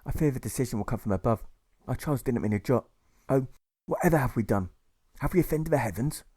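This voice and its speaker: A man's voice: mid-range voice, lively intonation, fast, warm tone, breathy edge, no echo, studio quality. A man voicing a fretful male prisoner who whines and worries. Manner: whining.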